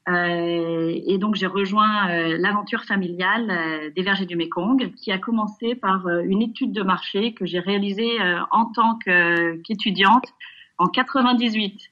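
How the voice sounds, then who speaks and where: A woman in her forties giving conversational interview answers over an internet call, pausing often mid-phrase. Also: medium pitch, highs cut off. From France, raised in Nigeria. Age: 40s. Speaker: interview guest, family business executive. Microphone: video call.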